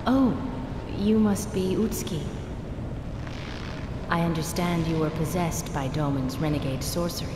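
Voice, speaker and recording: Resolute woman exorcist, lowish voice, unhurried, formal, composed, horror game. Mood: grave, resolute. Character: calm, resolute woman exorcist of ancient Japan. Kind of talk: dubbed horror game acting. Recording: echoey cavernous reverb with noise.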